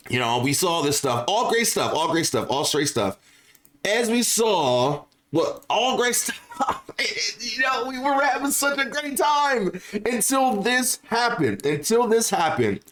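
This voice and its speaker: Man with a slightly high voice, casual commentary. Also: a bit bright. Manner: repeats phrases for emphasis. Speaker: video creator and host. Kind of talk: casual opinion commentary on gaming news, partly reading aloud.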